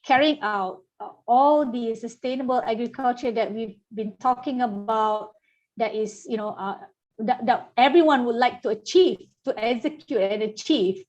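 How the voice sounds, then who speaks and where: A woman's voice: a little high, normal speed, a little mellow. A woman from Malaysia moderating a webinar, hesitant, with many fillers and restarts. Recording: dull lo-fi call audio.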